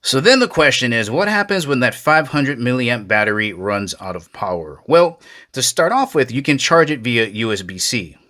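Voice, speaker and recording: A man's voice: medium pitch, lively intonation, moderate pace, bright, forceful tone. A man hosting a casual hands-on gadget review, talking plainly and directly to viewers in a folksy way. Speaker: product reviewer, video host.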